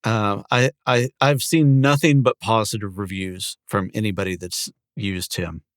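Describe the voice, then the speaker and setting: A man's voice: on the low side, steady tone, measured pace, clear, resonant tone, dry recording, studio quality. A man talking casually as a podcast co-host. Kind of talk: casual chat.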